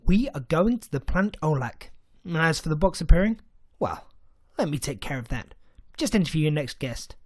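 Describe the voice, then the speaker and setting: A man playing a brisk, eccentric time-travelling alien adventurer in an audio drama, chatty, bossy and airily confident. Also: a little high, sing-song, no echo. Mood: brisk, commanding. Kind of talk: fan audio drama acting.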